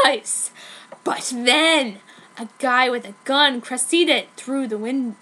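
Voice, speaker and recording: Female amateur reader, high voice, unhurried, hammy comic storytelling of fan fiction. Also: pushed and bright.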